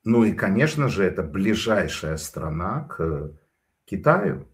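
Man, low voice, very animated pitch, rambling anecdotes, lots of um and er. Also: a little slow. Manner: animated, digressive storytelling with frequent hesitations. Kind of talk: casual spoken commentary.

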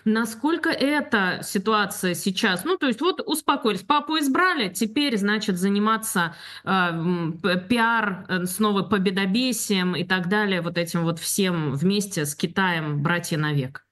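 A woman's voice: mid-range voice, unhurried, hardly any pauses, fairly bright tone. A woman in a political discussion, speaking casually and hesitantly with fillers.

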